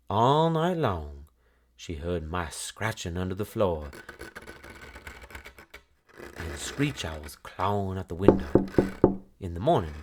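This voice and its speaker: A man with a deep voice narrates a children's storybook. His delivery is highly melodic and expressive, rising and falling to carry the tale. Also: background noise.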